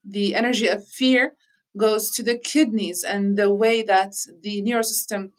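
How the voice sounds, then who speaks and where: Earnest woman speaking in measured phrases. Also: mid-range voice, steady tone, low-quality recording. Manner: measured, earnest, with frequent restarts. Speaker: interviewee on healing practice. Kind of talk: reflective conversational talk.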